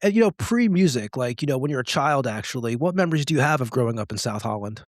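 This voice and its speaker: A man hosting a podcast interview, talking quickly and circling through long questions full of fillers. His voice is mid-pitched, and the recording is dry with little room sound. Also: sing-song, dry recording. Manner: rambling, filler-heavy questions. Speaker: podcast host.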